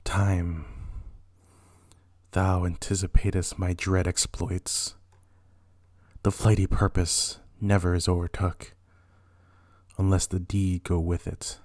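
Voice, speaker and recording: A man's voice: low-pitched, level tone, takes it slowly, long pauses, a little mellow, dry recording, studio quality. A man playing a tormented, imperious and ruthless king, declaiming weightily in a dramatic Shakespeare reading. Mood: grimly resolved.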